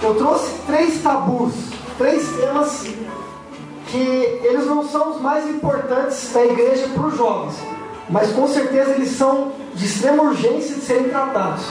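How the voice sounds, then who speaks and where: A hoarse man with a Brazilian accent who has a cold, preaching to young people at church in a casual, slangy way. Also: extremely high pitch. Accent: Brazilian Portuguese. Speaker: youth preacher. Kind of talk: youth sermon. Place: church hall. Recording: echoey church from far off.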